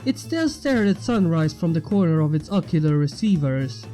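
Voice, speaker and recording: A woman's voice: low-pitched, sing-song, moderate pace, dark tone, soft background music. A woman reading scripted fiction aloud as the narrator.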